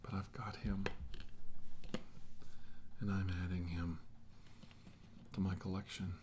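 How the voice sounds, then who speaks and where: Hushed, grim male scientist, acted by a man. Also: low-pitched, flat delivery. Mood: grim resolve. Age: middle-aged. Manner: hushed, halting, slow delivery in short phrases. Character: grim, remorseful middle-aged male military scientist. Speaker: ASMR role-play actor. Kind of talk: ASMR dramatic monologue, half whispered. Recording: hushed voice over clear background noise.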